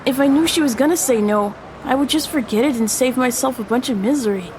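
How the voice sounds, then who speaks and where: Nervous, earnest seventh-grade boy played by a woman, very high voice, audio drama with clear traffic noise behind. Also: quick pace, lightly acted. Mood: anxious, wistful. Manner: earnest, hesitant. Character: anxious middle school boy confiding in his best friend. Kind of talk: family audio drama acting.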